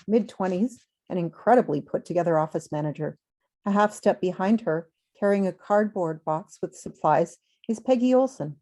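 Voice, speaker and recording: A woman with a lowish, soft-edged, mellow voice narrates a script. She reads stage directions plainly as the narrator of a table read.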